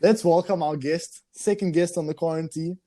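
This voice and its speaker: A man's voice: fairly high pitch, steady tone, moderate pace, dark tone. A young man hosting a podcast, playful and laughing often, with casual hesitant phrasing. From South Africa. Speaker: podcast host.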